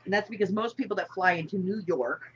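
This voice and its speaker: A woman's voice: medium pitch, fast, breathy edge. A woman from New Jersey telling stories in a casual chat, wry and joking. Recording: dull lo-fi sound with faint noise.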